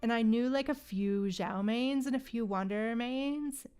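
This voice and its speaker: A woman livestreamer chatting casually in a slangy, animated way while gaming. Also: on the high side, unhurried, smooth, soft background music. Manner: chatty, animated retellings with frequent slangy exclamations.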